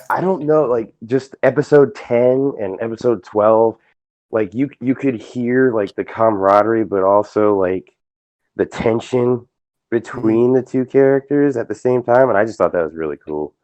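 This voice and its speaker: A man with a low, smooth voice gives casual interview answers in an earnest, hesitant manner. The sound is close and dry but slightly dull, like an online voice call. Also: measured pace, darkish tone, dry recording. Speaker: voice actor, interview guest.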